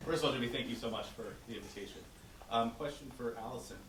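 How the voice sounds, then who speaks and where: A man's voice: medium pitch, fast, crowd noise. A man in a theater audience politely asking a question, picked up from a distance in a noisy, echoey room.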